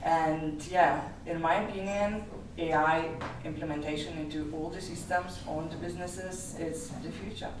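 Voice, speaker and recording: A woman's voice: low-pitched, steady tone, moderate pace, hardly any pauses, background noise. A woman with an Eastern European accent, a business advisor speaking directly on a panel in non-native English. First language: an Eastern European language. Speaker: tax strategist and business advisor. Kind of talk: panel discussion. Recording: echoey room from far off.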